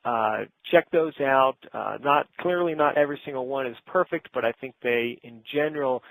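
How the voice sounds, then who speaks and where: A man's voice: medium pitch, moderate pace, gravelly, a bit bright, no room sound, poor audio. A middle-aged man presenting a business webinar in an informal, conversational and instructive way, speaking directly to his audience.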